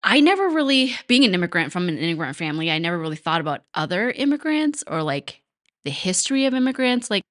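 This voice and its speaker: A woman's voice: fairly low pitch, big pitch swings, fast talker, fairly bright tone, no echo, clean studio sound. A woman from the United States co-hosting a podcast, talking in a chatty, reflective way with plenty of fillers. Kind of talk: casual conversational chat.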